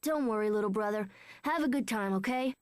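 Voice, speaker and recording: A woman's voice: mid-range voice, fairly quick, no room sound, clean studio sound, close to an everyday voice. A woman playing a brash, headstrong young boy with a caring big-brother streak in a video game dub. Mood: reassuring. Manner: casual, lively, impulsive. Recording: music under the voice.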